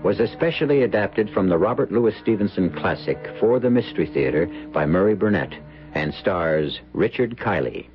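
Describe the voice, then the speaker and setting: A man's voice: deep voice, expressive, moderate pace, faint music behind. An American man hosting a radio drama, reading a scripted introduction in a theatrical, portentous manner. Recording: dull lo-fi radio recording.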